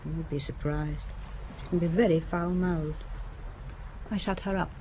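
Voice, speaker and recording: A provocative young married woman, as an actress plays her, with a low, intimate way of talking. Her tone is soft and mellow, and a faint ambience sits behind her in this radio drama. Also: steady tone, normal speed, slight background noise, lightly acted, poor audio. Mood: cool.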